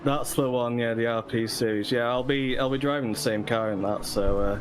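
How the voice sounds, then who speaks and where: Relaxed man on a noisy call. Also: medium pitch, moderate pace. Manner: relaxed, rambling, chuckling. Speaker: racing driver guest on voice call. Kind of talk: casual chat while racing.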